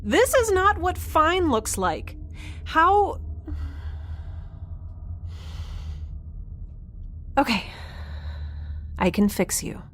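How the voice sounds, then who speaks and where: A woman's voice: very high-pitched, slow pace, slight background noise, heavily acted. An actress playing a quirky, stubborn young woman scientist in a spaceship audio drama, rambling and earnest. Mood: distressed, insistent. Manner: rambling, babbling, animated, earnest.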